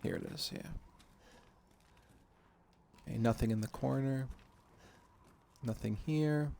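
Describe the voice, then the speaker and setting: A man in his thirties quietly talking through a game at home at night, half-whispering, relaxed and self-deprecating. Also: mid-range voice, slow pace, gravelly, a little hiss or hum. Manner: hushed, half-whispered talk. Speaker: gaming streamer. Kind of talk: casual let's-play commentary.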